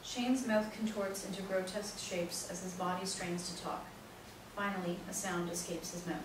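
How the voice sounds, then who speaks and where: A woman narrates a stage play, reading out scene directions in a scripted style. Her mid-pitched voice comes from far off the mic in a very echoey, noisy room. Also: fairly even intonation, moderate pace.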